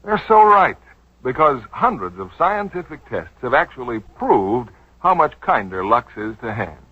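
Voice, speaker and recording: A man's voice: mid-range voice, sing-song, a little slow, husky. A man announcing a scripted 1940s radio commercial with an emphatic sales delivery. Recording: dull 1940s radio broadcast recording.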